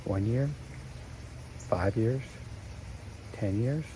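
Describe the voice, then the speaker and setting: Soothing low-voiced man guiding meditation. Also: dark tone, a little hiss or hum, poor audio. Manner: slow, soothing, with long pauses. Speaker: meditation guide. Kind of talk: guided meditation narration.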